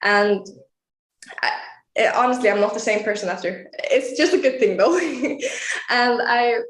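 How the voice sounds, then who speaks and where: A woman's voice: fairly high pitch, measured pace, roomy sound. An eighteen-year-old woman from Iceland, a pageant contestant answering interview questions earnestly and laughing often. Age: young adult.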